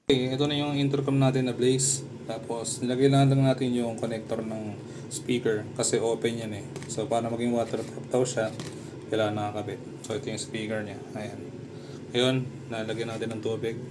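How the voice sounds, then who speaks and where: Casting: male gear reviewer, mid-pitched voice, conversational step-by-step demo delivery, noticeable background noise. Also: little pitch movement, moderate pace. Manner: conversational, narrates actions step by step. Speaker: motorcycle gear reviewer and content creator. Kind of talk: casual product review and demonstration. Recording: clear background noise, room ambience.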